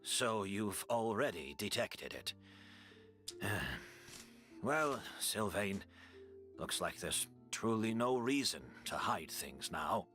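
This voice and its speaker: A man playing a weary, resolute game character, speaking gravely and deliberately. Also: fairly low pitch, very animated, sharp tone, faint music behind, heavily acted. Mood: resigned, revealing. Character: weary, resolute man confessing long-kept secrets. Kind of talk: video game voice acting.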